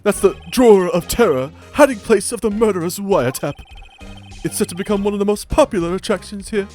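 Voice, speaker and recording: Guy doing a weird servile hotel bellhop from a game, high voice, super formal, eager to sell, music behind. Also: fairly quick, darkish tone, natural voice. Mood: servile pride. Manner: fawning, mock-formal politeness. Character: obsequious, eccentric hotel bellhop with morbid salesman zeal.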